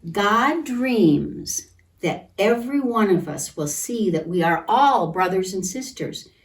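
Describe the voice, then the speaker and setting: A woman reading a picture book aloud to children in a gentle, deliberate manner, pausing to comment and ask questions. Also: medium pitch, expressive. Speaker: children's storytime reader at a church. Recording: distant microphone.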